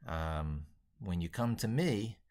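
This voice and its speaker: A man's voice: low-pitched, animated, takes it slowly, darkish tone. A man hosting a casual, unscripted livestream, rambling as he thinks aloud. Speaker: livestream host.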